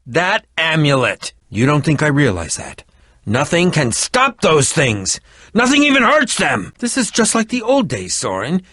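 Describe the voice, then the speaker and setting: An actor playing an eccentric middle-aged schemer in a video game, with a dramatic, haughty and defensive manner. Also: male voice, on the high side, pushed and bright, breathy edge, no echo, clean studio sound, exaggerated voice. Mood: agitated, dramatic. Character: eccentric theatrical middle-aged male potion-brewing schemer. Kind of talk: video game character dialogue.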